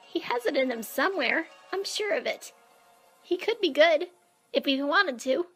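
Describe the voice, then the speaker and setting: A woman voicing a hopeful, trusting young skeleton child in an animated comic dub. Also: high voice, normal speed. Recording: played dub with music behind. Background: music.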